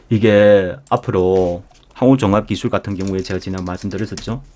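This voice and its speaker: A man with a fairly low voice gives a live stock market lecture. He speaks as an instructor, emphatically, turning to his listeners with rhetorical questions and direct address. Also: a little mellow.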